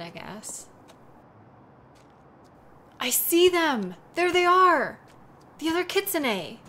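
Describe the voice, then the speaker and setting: Audio drama lead: actress as devoted young woman, worried, tender, slow delivery, light ambient noise behind. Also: a little high, bright, forceful tone, heavily acted. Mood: excited, relieved. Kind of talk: audio roleplay drama, intimate monologue.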